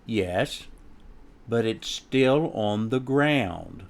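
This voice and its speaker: A man's voice: fairly low pitch, expressive, very slow, vocal fry, full character voice. A man voicing a timid young male chick in a storybook, earnest and quavering as he tries to stand up for himself. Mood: meek. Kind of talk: animated storybook character voice.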